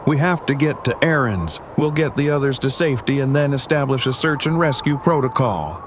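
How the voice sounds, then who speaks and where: Man playing a pedantic survivor in loud noise. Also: medium pitch, lively intonation, fairly quick, lightly acted. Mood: urgent. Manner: stiff, formal, over-precise diction. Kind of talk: fan audio drama acting. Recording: loud outdoor storm noise.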